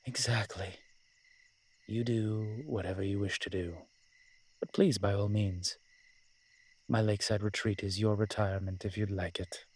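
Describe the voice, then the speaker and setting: A man playing a wry, flirtatious immortal incubus demon in a roleplay audio drama, with a husky voice and a dry, teasing, conversational manner. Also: lowish voice, fairly even intonation, a little slow, long pauses, a little mellow. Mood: musing, teasing.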